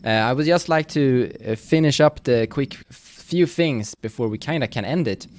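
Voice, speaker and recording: A man acting as game master, explaining and wrapping up a role-playing session with halting, often reworded phrasing. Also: mid-range voice, dry recording.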